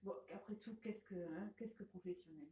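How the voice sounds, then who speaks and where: A woman's voice: mid-range voice, normal speed, dark tone, echoey room, distant mic, slight background noise. A woman hosting a radio interview and asking her guests questions. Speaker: radio host.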